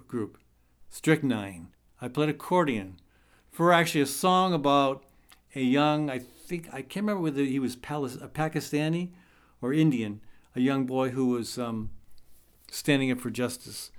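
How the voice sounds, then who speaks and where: Male radio host, slightly high voice, casual rambling commentary. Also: a bit bright. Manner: rambling, with frequent fillers and restarts. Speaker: radio talk show host.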